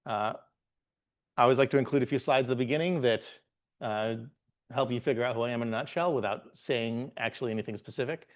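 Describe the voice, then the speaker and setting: A man in his forties, from the Bahamas, giving a live tech meetup talk as a guest presenter, relaxed and halting with frequent "uh"s and restarts. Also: mid-range voice. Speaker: guest presenter, software engineer.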